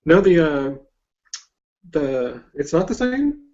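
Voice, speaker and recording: A man's voice: a little high, sing-song, takes it slowly, darkish tone. Man, podcast host, casual hesitant interviewing, dull lo-fi recording.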